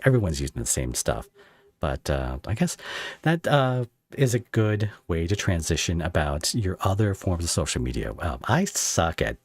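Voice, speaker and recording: A man hosting a casual interview, asking rambling questions in a halting way. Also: low-pitched, no echo. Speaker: podcast host interviewing a creator.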